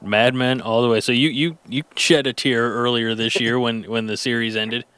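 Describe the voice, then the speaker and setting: A man's voice: medium pitch, steady tone, moderate pace, bright, forceful tone, clean tone, no echo. A man hosting an interview, asking long rambling questions full of restarts and fillers. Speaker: host.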